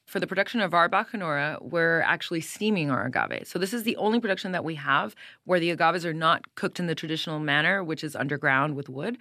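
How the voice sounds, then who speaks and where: Low-voiced woman explaining her mezcal. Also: pushed and bright, no room sound, studio quality. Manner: measured, explanatory, with small repeated restarts. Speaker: mezcal brand owner. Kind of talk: casual interview answers.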